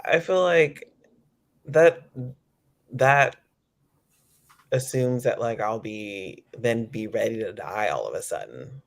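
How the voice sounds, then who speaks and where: A man with a fairly low voice talks reflectively as an interview guest. He is tentative and thoughtful, circling back over his words as he finds the point. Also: expressive, slow, gravelly, pauses often.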